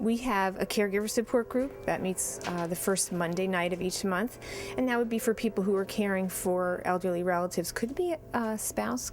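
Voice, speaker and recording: A woman, a support group facilitator giving informational answers in an interview. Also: mid-range voice.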